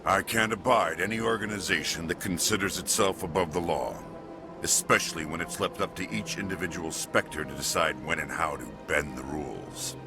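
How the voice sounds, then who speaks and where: A man playing a stern, proud alien police chief in a video game, curt and disapproving, with a gravelly voice. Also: deep voice, steady tone, moderate pace, over music, lightly acted. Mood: stern, indignant. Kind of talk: video game dialogue acting.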